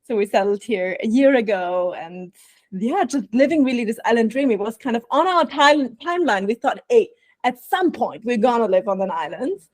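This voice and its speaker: A woman speaking with an Austrian accent, her voice sitting a bit high. She talks reflectively as a podcast guest. The sound is dry and close, with no room around it. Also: animated, normal speed, dry recording. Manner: warm, reflective, laughs often. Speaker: guest, burnout prevention coach. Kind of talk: podcast interview.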